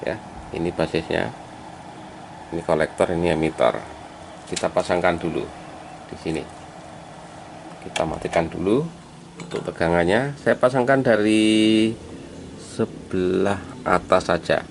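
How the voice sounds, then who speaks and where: A man with a deep, creaky voice walks viewers through a hands-on job. He speaks informally and very slowly, pausing between steps, over a clearly noisy amateur recording. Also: steady tone. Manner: step-by-step, halting as he works, addressing viewers as friends. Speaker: electronics repair hobbyist presenting a tutorial. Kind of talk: informal hands-on tutorial narration.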